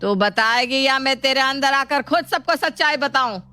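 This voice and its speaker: A woman's voice: sits high, moderate pace, bright, forceful tone. A woman playing an imperious, scolding old mother-in-law in an acted moral story. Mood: menacing, stern. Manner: commanding, scolding.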